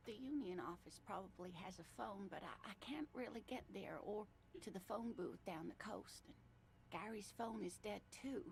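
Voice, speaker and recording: A raspy, gravelly elderly woman, voiced by an actress, talks gently and at length in a video game. She is polite and fond, and worried about her missing husband. Her pitch sits in a middle range. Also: moderate pace, lightly acted, poor audio. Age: older.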